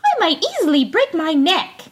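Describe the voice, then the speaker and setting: A tiny, bold little boy with a squeaky, shrill voice that leaps far above ordinary speech, as a woman reading a storybook puts him on. He chirps and calls out with lively, swooping cheek. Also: moderate pace, full character voice. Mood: cheeky.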